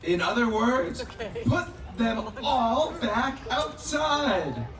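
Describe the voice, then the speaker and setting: An echoey stage with a noisy crowd carries a performer as a wise village storyteller in a puppet show. The voice is slow, deliberate and musing. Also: mid-range voice, sing-song. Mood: measured, wise. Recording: echoey, distant stage with audience noise.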